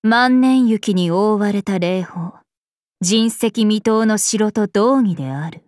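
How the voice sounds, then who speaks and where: Slow, imperious princess spirit, acted by a woman. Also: mid-range voice, a little mellow, no echo, studio quality, natural voice. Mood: stern, cold. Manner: imperious, archaic, composed, aloof. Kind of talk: Japanese mobile game character voice lines.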